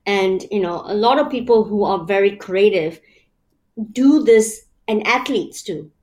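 A woman's voice: mid-range voice, lively intonation, unhurried, darkish tone. A woman from Malaysia, a guest in an interview, explaining her ideas step by step in a reflective, casual way with many fillers. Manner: reflective, explains in numbered steps with many "you know" fillers.

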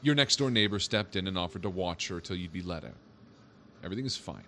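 An actor playing a skeptical, wry police officer in an audio drama, questioning in a casual, doubting, placating way. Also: male voice, sits low, animated, quick pace, sharp tone, a little hiss or hum, heavily acted. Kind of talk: audio drama acting, naturalistic.

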